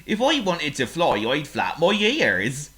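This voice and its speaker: A woman voicing a kindly, slow-thinking pig who speaks in a slow, ponderous, cheerful way. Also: low voice, huge pitch swings, fairly bright tone, pitched above the performer's own voice, full character voice.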